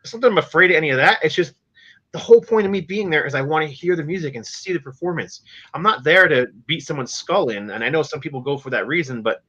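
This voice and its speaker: A man's voice: on the high side, quick pace, pushed and bright, slightly rough, dull audio. A middle-aged man hosting a music podcast in casual chat, matter-of-fact and openly opinionated. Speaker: podcast host.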